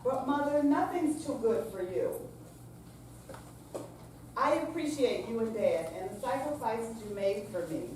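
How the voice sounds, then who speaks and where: A woman playing a patient, coaxing grown daughter in a staged reading, with deliberate, read-out delivery. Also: a little high, animated, a little mellow. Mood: coaxing, earnest. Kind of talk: staged readers theater reading. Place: senior center room, staged live reading. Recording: very echoey hall, distant mic, faint noise.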